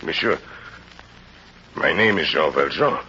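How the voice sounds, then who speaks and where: An actor in an old radio drama playing a desperate, bitter, hungry released convict who speaks in intense, halting, repeated phrases. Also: male voice, extremely low pitch, lively intonation, pushed and bright, exaggerated voice. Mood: humbled, bewildered. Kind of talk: 1930s-40s radio drama acting. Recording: dull, noisy old radio recording.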